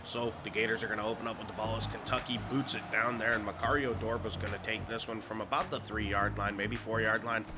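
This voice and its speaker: A man's voice: on the low side, brisk, few pauses, over music. Sick, nasal man calling plays. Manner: excitable, rising to shouts on big plays. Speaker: gaming YouTuber. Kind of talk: play-by-play commentary over a college football video game.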